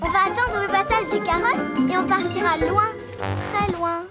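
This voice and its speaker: Very high-pitched little cartoon girl. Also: fairly even intonation, moderate pace. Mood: earnest, dreamy. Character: excitable, stubborn, dreamy little girl cartoon character. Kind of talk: animated children's cartoon dubbing. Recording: music under the voice.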